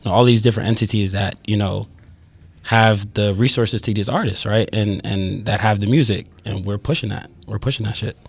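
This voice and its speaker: A man's voice: on the low side, fairly even intonation, fairly quick, gravelly, breathy edge. A man who grew up in the United States, a guest DJ, explaining things at length in a laid-back podcast chat full of fillers. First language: Yoruba. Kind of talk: casual podcast conversation.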